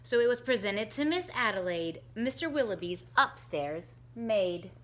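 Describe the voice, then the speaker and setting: A woman reading a rhyming picture book aloud to children in a slow, sing-song, expressive way, giving the characters their own voices. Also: mid-range voice. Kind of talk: storybook read-aloud for children.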